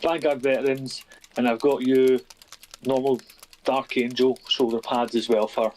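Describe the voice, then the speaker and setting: A man's voice: medium pitch, flat delivery, a little mellow, slight background noise, poor audio. Easygoing Scottish man. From Scotland. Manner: easygoing, reads out a list with pauses. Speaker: stream guest on voice chat. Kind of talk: casual chat.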